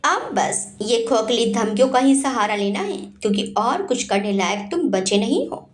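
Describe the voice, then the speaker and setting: Woman voicing confident young son, lively intonation. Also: a little high, moderate pace, close to an everyday voice. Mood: mocking. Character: confident young man, mocking to villains, devoted son.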